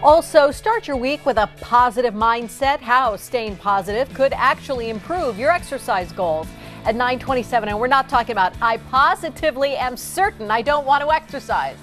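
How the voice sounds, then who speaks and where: A woman's voice: on the high side, lively intonation, normal speed, fairly bright tone, faint music behind. An American woman hosting morning television, teasing upcoming segments as a presenter. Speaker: morning TV show host.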